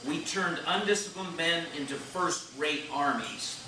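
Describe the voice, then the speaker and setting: Man as principled general-president, highish, echoey. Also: lively intonation, measured pace. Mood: proud. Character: principled, idealistic George Washington, male general-president in heaven. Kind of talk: readers' theater stage reading. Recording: echoey room, distant mic, noisy.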